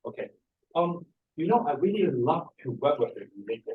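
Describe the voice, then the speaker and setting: A middle-aged or older man with a Hong Kong accent, speaking haltingly and repeating words as he presents an informal seminar. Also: fairly high pitch, sing-song, phone-quality sound. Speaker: feng shui master, seminar speaker. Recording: distant microphone in a room.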